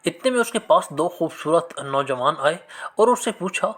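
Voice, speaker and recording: Expressive higher-pitched male storyteller. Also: normal speed. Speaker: narrator of moral story channel. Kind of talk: scripted religious storytelling narration.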